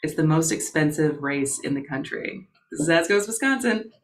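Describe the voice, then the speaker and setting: A woman's voice: sits low, lively intonation, normal speed, a little hiss or hum. A woman with an American accent hosting live talk radio in a casual, bantering style. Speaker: radio show host.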